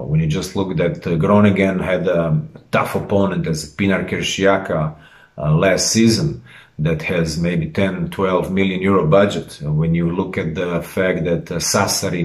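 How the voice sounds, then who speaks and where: Man, late 30s, low voice, formal head coach addressing fans in a roomy, echoing space. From Croatia. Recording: roomy echo.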